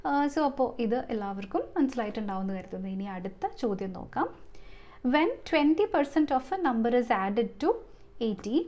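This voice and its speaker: A woman's voice: fairly high pitch, expressive, unhurried, a little mellow. A woman with a Malayali accent teaching step by step as an exam coach, often asking rhetorical questions and slipping into English terms. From Kerala, India. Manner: step-by-step, frequent rhetorical questions and check-in tags. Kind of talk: explanatory lesson, working through maths problems.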